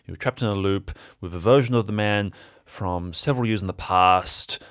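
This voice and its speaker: A man voicing a blunt, cryptic parasite creature in an improvised audio drama, explaining things in a measured, insistent way. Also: low voice, a bit bright, dry recording, clean studio sound. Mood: measured, analytical.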